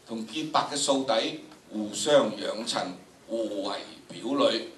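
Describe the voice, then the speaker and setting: A man's voice: lowish voice, takes it slowly. An older man with a Hong Kong Cantonese accent teaches a drama workshop in a theatrical, emphatic lecturing style, addressing students directly. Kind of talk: lecture with dramatic recitation demonstrations. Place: large lecture room, indoors. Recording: echoey lecture hall, distant, noisy.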